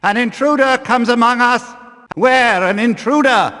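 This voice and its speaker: High-pitched pious temple guard, echoing. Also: male voice, measured pace, sharp tone, heavily acted. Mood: alarmed, rallying. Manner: archaic, declamatory speech. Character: stern pious temple guard of a fanatical order. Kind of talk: scripted fantasy video game voice acting. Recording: long reverberant hall echo.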